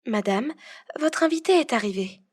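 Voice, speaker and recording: A woman playing a strict, dutiful head housekeeper in a French audio roleplay, speaking in a prim, formal, measured way. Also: fairly high pitch, lively intonation, over music, lightly acted.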